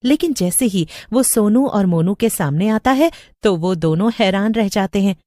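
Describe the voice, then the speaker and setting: A woman's voice: medium pitch, animated, moderate pace, warm tone, dry recording, clean studio sound. A woman narrating a scripted story as a storyteller.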